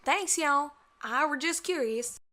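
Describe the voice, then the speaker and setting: A woman's voice: high-pitched, measured pace, bright, forceful tone. A woman voicing a plainspoken country farm mare with an American Southern accent and a folksy drawl. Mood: curious. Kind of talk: cartoon-style audio drama.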